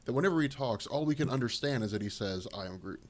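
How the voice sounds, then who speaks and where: A man's voice: mid-range voice, expressive, fast talker, a little mellow. A man co-hosting a podcast over a call, sheepishly apologizing and then explaining trivia. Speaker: podcast co-host. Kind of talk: casual podcast chat. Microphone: remote call mic.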